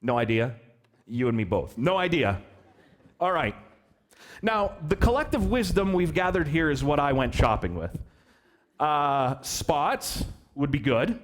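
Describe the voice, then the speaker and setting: A man preaching a sermon as pastor, telling a story in an animated, joking way and asking the children questions. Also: on the high side, a little slow. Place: church hall.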